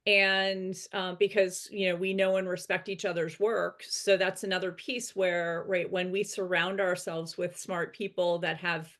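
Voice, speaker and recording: A woman with a lowish voice speaks as a coach over a video call. Her tone is steady and explanatory, and she keeps adding a questioning "right?" as she lays out her points. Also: measured pace, few pauses. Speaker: executive coach, guest. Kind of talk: casual webinar conversation.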